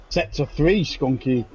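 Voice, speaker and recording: A man's voice: medium pitch, vocal fry, noisy background. A man racing online, muttering his lap times and swearing casually as he chats with friends before a race. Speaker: sim racing driver. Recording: dull lo-fi voice chat.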